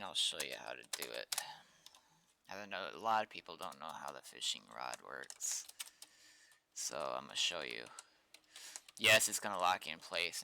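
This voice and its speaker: A man with a low, creaky voice and a very bright, forward edge talks casually on a developer livestream. He thinks aloud in an informal way while working. Steady noise sits behind him, with keyboard typing and clicks. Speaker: game developer streaming live. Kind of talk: casual live coding stream, thinking aloud and chatting with viewers. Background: computer keyboard typing and clicking.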